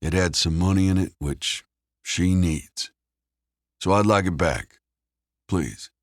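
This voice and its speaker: A man voicing a cold, enigmatic stranger who speaks in a measured, formal, chilling manner in an audiobook thriller. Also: sits low, no echo, studio quality, voice pushed up. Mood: calm, menacing. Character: cold, enigmatic, soft-menacing American man of few words.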